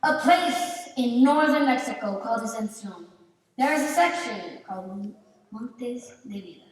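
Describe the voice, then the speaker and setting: A woman's voice: fairly high pitch, animated, unhurried. A woman performing as a storyteller, narrating a folk tale in a theatrical scripted style. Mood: storytelling. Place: theatre stage, far camera. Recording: echoey, noisy theatre hall from far off.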